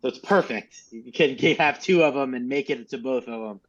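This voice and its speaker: A man's voice: mid-range voice, fairly quick. A man coaching a group in casual, informal, mildly profane instructional talk over a lo-fi video call. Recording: dull, lo-fi video call audio.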